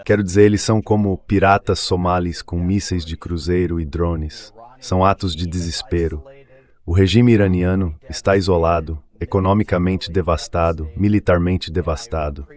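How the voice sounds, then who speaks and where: Male official for dubbed news interview, low voice. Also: steady tone, moderate pace, a little mellow. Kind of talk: dubbed political interview answer.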